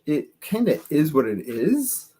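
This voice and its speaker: A man's voice: fairly high pitch, sing-song, unhurried, dark tone, slightly rough. Laid-back man in casual chat. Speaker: podcast co-host.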